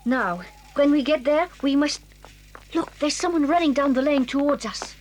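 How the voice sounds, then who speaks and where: An actress playing a bold, decisive circus-raised schoolgirl in a children's radio drama, speaking briskly and urgently. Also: high voice, darkish tone, lightly acted.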